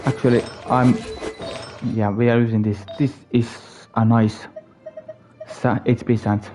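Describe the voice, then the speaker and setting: A man's voice: mid-range voice, flat delivery, measured pace, music in the background. A man from Finland doing casual live gameplay commentary, muttering to himself and repeating short phrases. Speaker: live game streamer.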